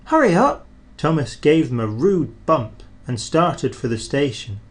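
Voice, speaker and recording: A man reading a children's train story aloud in an expressive, animated storyteller's manner. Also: mid-range voice, measured pace.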